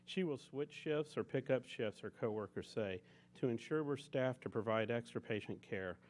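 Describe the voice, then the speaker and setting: A man's voice: mid-range voice, moderate pace, a little mellow. A man at a public board meeting presenting an employee award, reading an earnest tribute with frequent restarts and fillers.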